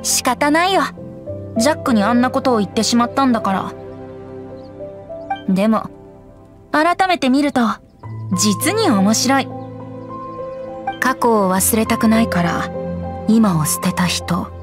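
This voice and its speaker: A woman's voice: on the high side, animated, unhurried, pauses often, music in the background. A woman voicing a playful, sly young male wandering bard with a light boyish voice in an anime-style game. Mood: musing.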